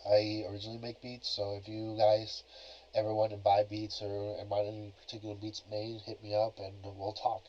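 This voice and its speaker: A young man talks on his own podcast in a relaxed, rambling way, leaning on filler phrases as he goes. His voice sits on the low side. It comes through a cheap plug-in mic that sounds dull and lo-fi. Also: moderate pace, slight background noise. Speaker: podcast host. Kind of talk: casual solo podcast talk. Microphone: cheap plug-in computer microphone.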